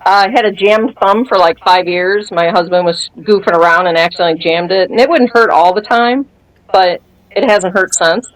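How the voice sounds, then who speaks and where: Woman, fifties, low-pitched voice, casual interview, animated storytelling, acts out dialogue. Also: normal speed, low-quality recording. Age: 50s. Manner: animated storyteller who acts out quoted dialogue and laughs often. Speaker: guest sharing a testimony.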